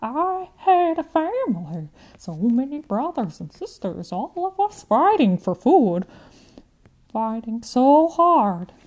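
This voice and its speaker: A woman voicing a gentle, confused old grandmother who speaks slowly, with a faint foreign lilt creeping into her words. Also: high-pitched, very animated, pitched above the performer's own voice, heavily acted, rough recording. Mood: drifting. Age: older. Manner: slow, dreamy, drifting speech with a faint foreign lilt. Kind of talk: storybook character voice.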